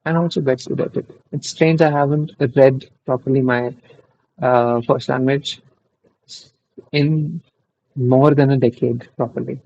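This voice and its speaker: A man's voice: medium pitch, steady tone, measured pace, gravelly, a little mellow, no room sound, lo-fi sound. A young man who is not a native English speaker, reading an article aloud on a live stream and musing between lines in a rambling, easily distracted way. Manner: rambling, self-interrupting, distracted asides. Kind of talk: thinking aloud while reading.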